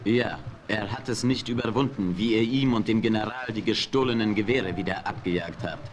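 An actor playing an earnest Comanche chief in a radio play, reporting events plainly. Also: male voice, lowish voice, moderate pace, a crowd in the background, lightly acted. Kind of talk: 1970s radio play acting.

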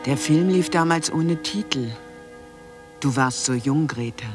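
A man's voice: on the high side, measured pace, lightly acted. Wistful, musing man over music radio play. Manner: nostalgic, intimate, musing. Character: wistful male narrator, tenderly addressing a lost past. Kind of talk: radio drama monologue. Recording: old cassette, music under voice.

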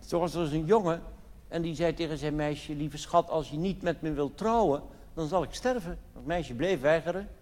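Reverberant hall, male cabaret comedian, high-pitched voice, theatrical and mock-solemn delivery, live monologue. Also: moderate pace, a little mellow. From Netherlands. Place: theatre stage in a large hall.

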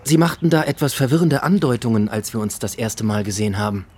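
An actor playing a composed, probing police inspector in a radio drama, with a dry, polite manner. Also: male voice, medium pitch, expressive, fast, darkish tone, husky.

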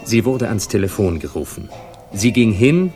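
Naive posh young man, lowish voice, halting, affronted, vain, 1960s radio crime play. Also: sing-song, dark tone, soft background music. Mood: rueful, hesitant. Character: naive young upper-class man, vain, easily offended. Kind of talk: 1960s German radio crime drama acting.

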